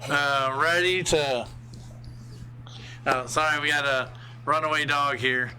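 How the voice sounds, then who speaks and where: A man's voice: on the high side, steady tone, takes it slowly. A California-born man hosting a casual stream, rambling through family stories with many fillers. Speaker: host. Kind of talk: casual storytelling on a livestream.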